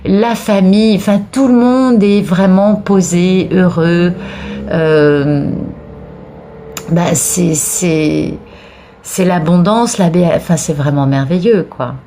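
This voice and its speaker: A woman's voice: on the low side, takes it slowly, mellow, a little hiss or hum. A woman with a cold giving a tarot card reading for viewers, talking in an encouraging way with frequent restarts and repeated words. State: has a cold, sneezing. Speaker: tarot reader.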